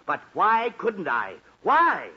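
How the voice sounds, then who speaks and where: Exasperated, blustering radio dad. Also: male voice, high-pitched, wildly swooping pitch, takes it slowly, full character voice. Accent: American. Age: middle-aged. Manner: emphatic, fussy, scolding. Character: exasperated, blustering sleepless middle-aged family father. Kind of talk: 1940s radio comedy acting. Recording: scratchy, muffled 1940s radio recording.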